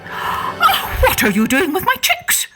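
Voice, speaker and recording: A woman voicing a distressed, protective mother ostrich in a children's story, shrill, pleading and insistent. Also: wildly swooping pitch, slow pace, over loud music, heavily acted. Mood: alarmed, indignant.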